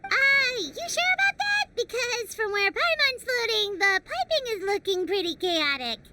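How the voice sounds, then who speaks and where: A woman's voice: extremely high pitch, very animated, measured pace, noisy background, heavily acted. A woman voicing a tiny, chatty girl companion who floats about and speaks of herself in the third person, coaxing and bubbly, in a game cutscene. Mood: breezy. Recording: game score under the voice. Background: music.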